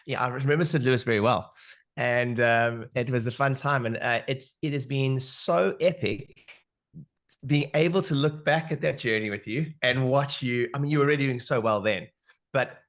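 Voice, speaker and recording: South African guest speaker, animated, chatty, on a video call. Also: male voice, mid-range voice. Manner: animated, filler-heavy storytelling. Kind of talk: webinar talk.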